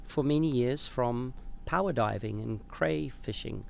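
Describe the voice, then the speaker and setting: A man's voice: mid-range voice, measured pace, vocal fry, slight background noise. A young man with a New Zealand accent, talking as a podcast guest in a parked RV. He rambles and often restarts words mid-way. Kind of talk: casual chat. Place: inside a parked RV.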